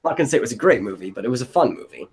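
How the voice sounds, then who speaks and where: A man's voice: mid-range voice, big pitch swings, very fast, breathy edge. A man hosting a casual brainstorming chat, thinking aloud in a loose way. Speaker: host of a brainstorming stream. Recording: noticeable background noise.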